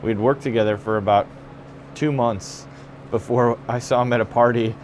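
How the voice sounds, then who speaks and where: A man's voice: medium pitch, fairly even intonation, moderate pace, darkish tone, slight background noise. A young man from Chicago with a Midwestern accent, a stage actor chatting backstage with lots of fillers. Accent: Midwestern American. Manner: chatty, laid-back, many fillers. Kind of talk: casual backstage interview. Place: theater dressing room.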